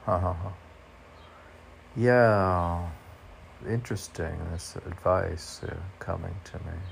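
A man's voice: low-pitched, slow, vocal fry, slight background noise. A man informally reading his own poetry and musing aloud about it, often questioning himself as if in conversation. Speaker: poet reading and commenting on own poems.

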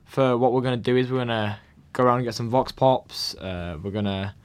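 A man's voice: mid-range voice, fairly quick, clear, resonant tone. A man with a mid-range voice talks on a community radio show from the studio. He sounds hesitant, stumbling over words and leaning on "like" as he explains the plans. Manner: hesitant, repeating words and saying like often. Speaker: radio co-presenter. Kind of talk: casual radio chat.

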